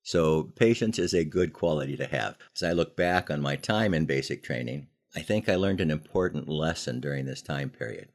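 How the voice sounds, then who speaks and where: An older American man telling personal stories in a conversational, folksy way, leaning deliberately on key phrases, as a podcast host. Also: a bass voice, lively intonation. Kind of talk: conversational storytelling with moral lessons.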